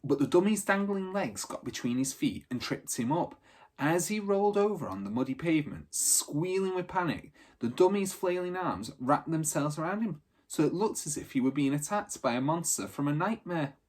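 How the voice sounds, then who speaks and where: A man reading a children's story aloud in an expressive, dramatic narrating style. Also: fairly high pitch, moderate pace.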